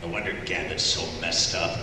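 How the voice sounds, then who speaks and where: An actor voicing a gruff, sarcastic, threatening male mutant fighter at a live table read of an animated series. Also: low-pitched, normal speed, lightly acted. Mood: scornful. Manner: tough, threatening. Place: large convention hall, live on stage. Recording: echoey hall PA from far off, noisy.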